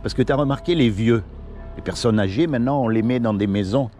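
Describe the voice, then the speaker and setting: Mellow-voiced man preaching on the street. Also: mid-range voice, fairly quick, faint music behind. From Morocco. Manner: earnest and pleading, uses many everyday examples. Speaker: street evangelist. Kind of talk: casual one-on-one street preaching. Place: outdoors on a city street.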